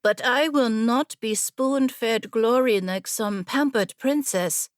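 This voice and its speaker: A woman's voice: a little high, takes it slowly, no room sound, studio quality, voice pushed up. Brusque, proud young noblewoman, voiced by a woman narrator. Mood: scornful. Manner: brusque, challenging. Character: proud, impatient young noblewoman warrior on a quest. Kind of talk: audiobook fantasy character dialogue.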